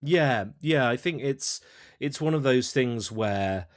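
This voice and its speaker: A man in a casual interview, recorded dry and close, with a mid-pitched voice. He thinks aloud, doubling back on words in a halting way as he explains his ideas. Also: animated, dry recording. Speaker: writer-director, podcast guest.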